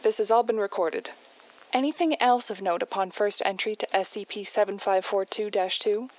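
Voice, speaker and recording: A woman playing a guarded mission contact in an audio drama, speaking in a measured, formal, reserved way. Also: mid-range voice, lightly acted. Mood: formal, calm. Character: calm, guarded female mission contact debriefing a field scientist.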